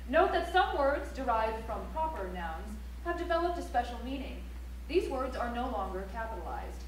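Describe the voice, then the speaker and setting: A woman's voice: fairly high pitch, very animated, moderate pace. A woman playing a prim, didactic reciter of rules, delivering them precisely like a manual on stage. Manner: precise, instructive, list-like. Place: library auditorium stage. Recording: echoey auditorium, distant mic, faint hum.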